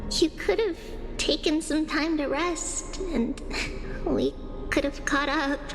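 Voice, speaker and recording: A woman's voice: sits high, slow. A woman playing a sweet, caring big-sister figure in a fantasy game, with a tender, wistful manner. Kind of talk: voiced game dialogue. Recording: long hall reverb with music behind.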